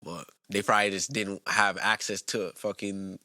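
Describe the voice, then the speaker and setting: A man's voice: fairly low pitch, normal speed, dry recording. A young man from New York co-hosting a podcast in slangy, hyped-up casual chat. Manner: lively, repetitive hype phrases, slangy. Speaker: podcast co-host.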